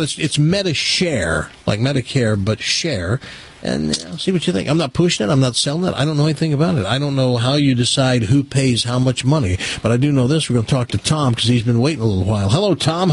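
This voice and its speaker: A man's voice: medium pitch, animated, brisk, husky. An American man hosting a radio talk show in a casual, rambling, conversational way, with some joking. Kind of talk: casual live radio talk with ad reads and caller chat.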